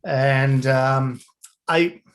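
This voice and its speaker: A man from Slovenia giving a webinar talk over a video call, in a relaxed and chatty way. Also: medium pitch, drawn-out words. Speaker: guest speaker. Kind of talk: presenting a webinar.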